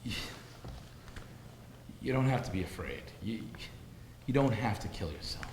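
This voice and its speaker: An actor playing a smug, sinister husband in a live stage drama, measured and gloating in a theatrical way. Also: male voice, lowish voice, lively intonation. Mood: coldly soothing. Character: smug, sinister husband who calmly poisons his wife. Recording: echoey, noisy live theater recording.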